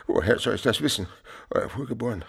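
An actor playing a frightened, muddled peasant suspect in a period radio play, halting, repetitive and servile. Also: male voice, medium pitch, vocal fry, a bit bright, slightly rough, exaggerated voice. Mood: anxious, insistent.

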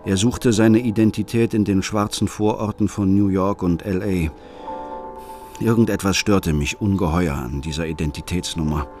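An actor playing a troubled, suspicious middle-aged father who narrates a radio play in a brooding, measured way. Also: low voice, a little mellow, lightly acted. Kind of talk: scripted first-person radio play narration. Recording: music under the voice.

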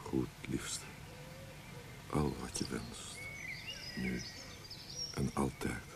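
An actor playing a roguish, self-doubting poet in a radio play, playful and smitten, with a hesitant way of speaking. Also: male voice, a bass voice, level tone, extremely slow, heavily acted. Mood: tender. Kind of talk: Dutch radio play acting. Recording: noisy old radio play recording.

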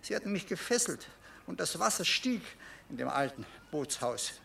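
A man's voice: a little high, big pitch swings, normal speed, vocal fry, sharp tone, far from the mic, slight background noise, full character voice. A man playing a meek, anxious stepbrother in a radio drama, speaking in a hesitant, deferential and precise way. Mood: anxious, haunted. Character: meek, anxious stepbrother of two elderly teacher sisters. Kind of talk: German radio drama acting.

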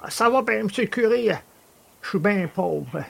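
A man's voice: high-pitched, animated, normal speed, gravelly, pitched above the performer's own voice, exaggerated voice. A man voicing a sly, brazen rural horse trader in a storybook tale, talking in a falsely humble, wheedling way. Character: sly, brazen male horse trader peddling stolen wood.

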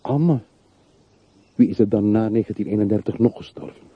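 A man's voice: lowish voice, moderate pace, husky, no echo. An actor in a radio drama playing a brooding, bitter man from a rich family who mourns his dead in melancholy monologue. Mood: tense, questioning. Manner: melancholy, reflective, literary monologue. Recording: muffled, narrow-band old radio play recording.

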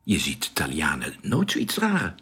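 An actor playing a gentle, curious man in love in a radio drama, speaking softly and a little hesitantly, with some teasing. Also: rumbling low, huge pitch swings, moderate pace, husky, heavily acted. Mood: tender, curious.

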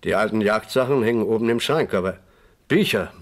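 An actor playing a hospitable, talkative forest innkeeper in a German radio crime play. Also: male voice, on the low side, fairly quick, no room sound, natural voice. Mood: talkative, trusting.

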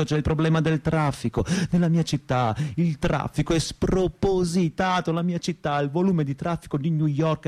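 A man's voice: on the high side, darkish tone, lightly acted. An actor playing a frustrated, self-mocking perpetual student in his thirties in a theatrical, rhetorical radio monologue. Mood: sarcastic complaint. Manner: rhetorical, repetitive, shifting between lofty lyricism and crude bluntness.

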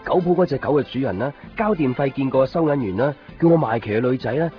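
A man's voice: medium pitch, animated, quick pace, close to an everyday voice. An actor playing a polite, eager young man hosting a housewarming in a 1990s radio drama, with a Hong Kong Cantonese accent. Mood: wry, reflective. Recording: dull lo-fi 1990s radio, music behind.

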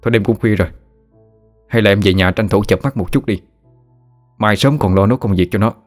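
A calm young man talks his friend down in a lowish, steady voice, as a man plays him. He is soothing and reasonable. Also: fairly quick, soft background music, close to an everyday voice.

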